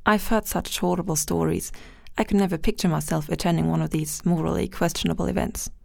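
Teen female student, low and heavily gravelly, dry close sound, earnest. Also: steady tone, a little fast, a little mellow, dry recording. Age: teens. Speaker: student guest. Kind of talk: scripted panel discussion.

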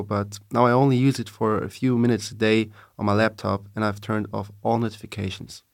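A man in his early twenties, a student co-host, sharing his own experiences in a steady, reflective way on a podcast. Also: medium pitch, creaky, no room sound, studio quality. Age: 20s. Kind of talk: casual podcast discussion.

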